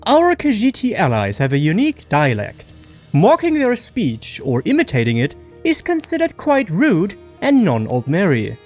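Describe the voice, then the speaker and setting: High, pompous elven propagandist acted by a narrator. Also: wildly swooping pitch, moderate pace, darkish tone, faint music behind. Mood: prim, officious. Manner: pompous, declamatory, officious. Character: haughty elven propagandist, prim, zealous official.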